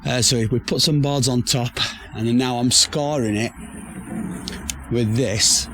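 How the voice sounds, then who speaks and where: A hoarse man who has nearly lost his voice, chatting casually to camera about his outdoor building project with rambling restarts. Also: mid-range voice, fairly even intonation, unhurried, noisy background. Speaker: vlogger building a pizza oven shelter. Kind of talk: casual to-camera DIY chat. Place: outdoor building site in a garden.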